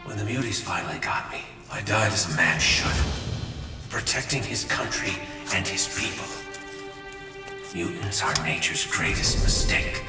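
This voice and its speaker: A man playing a stern, fanatical father who preaches and demands obedience in a gravelly, breathy voice. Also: low voice, measured pace. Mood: bitter, fervent. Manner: preachy, commanding. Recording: echoey, distant, loud music behind.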